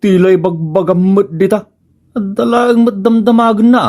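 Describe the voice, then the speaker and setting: A man's voice: high-pitched, little pitch movement, a little mellow. A man playing a flustered, lovestruck married tricycle driver in an Ilocano-accented radio comedy, stammering and drawing out his exclamations. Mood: defensive. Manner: stammering, exclaiming, drawn-out words. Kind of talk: comedic radio drama acting.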